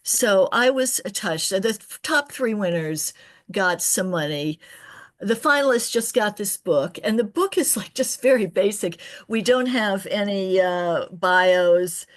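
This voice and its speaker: A woman poet chatting casually in a halting, digressive way, often restarting her phrases partway through. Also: mid-range voice, animated. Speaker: poet at an open reading. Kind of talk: casual, rambling anecdote.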